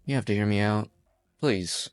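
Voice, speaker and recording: A man playing a weary, apologetic outlaw in an amateur game fan dub, speaking in a measured, resigned way. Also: on the low side, clean tone, dry recording, soft background music, lightly acted. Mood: pleading, regretful. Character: weary, apologetic outlaw hostage-taker, a former lawyer.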